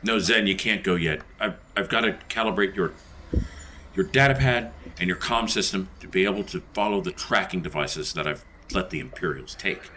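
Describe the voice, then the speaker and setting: A man's voice: sits low, normal speed, sharp tone, a little hiss or hum. A man playing a tabletop role-playing game, improvising casually in character.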